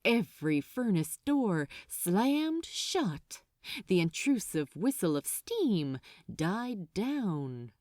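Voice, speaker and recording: Slow, expressive woman narrator with sweeping intonation. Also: mid-range voice, no echo, clean studio sound. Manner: deliberate, expressive, suspenseful. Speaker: fan fiction narrator. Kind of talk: dramatic scripted storytelling.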